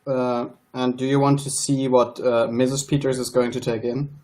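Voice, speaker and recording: Radio drama lawman, played by a man: medium pitch, easygoing small-town sheriff. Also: little pitch movement, normal speed, a little mellow, natural voice. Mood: casual. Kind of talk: radio play acting.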